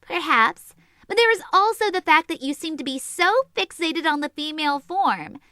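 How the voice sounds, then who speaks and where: A woman's voice: high-pitched, animated, normal speed, pushed and bright, no echo, studio quality. A woman playing a petite, short-tempered teenage sorceress in a comedic anime fan audio drama, sarcastic and teasing. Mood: sly, teasing.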